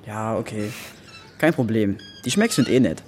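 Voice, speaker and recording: A young man acts a teenage son in a radio play, curious and a little sulky. His delivery is casual, with lively rises and falls. There is faint noise behind him. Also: fairly low pitch, moderate pace, lightly acted. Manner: casual, questioning, youthful. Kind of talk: naturalistic radio play acting.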